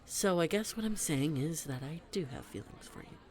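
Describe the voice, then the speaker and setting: A man playing a smitten young best friend in a roleplay audio, talking to the listener in a halting, playful, nervous way. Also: fairly high pitch, expressive, lightly acted. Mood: tender, confessing. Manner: halting, giggly, playful, addressing the listener directly. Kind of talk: scripted roleplay audio, intimate conversational acting. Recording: public place with crowd murmur.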